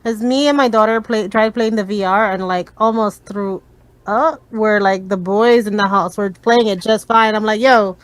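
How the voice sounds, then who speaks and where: A woman's voice: mid-range voice, steady tone, normal speed, no echo. Blunt, laughing woman with a dry close sound. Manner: blunt, swears, laughs easily. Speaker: podcast co-host, streamer. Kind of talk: casual podcast chat.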